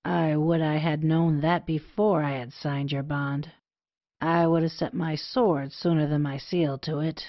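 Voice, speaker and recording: A proud young gentleman suitor acted by a woman in an old stage comedy. The voice is quite low, with dry, wry, archaic phrasing. The sound is lo-fi and without room echo. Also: fairly even intonation, measured pace. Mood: indignant. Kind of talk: period comedy audio play acting. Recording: dull, dry lo-fi audio.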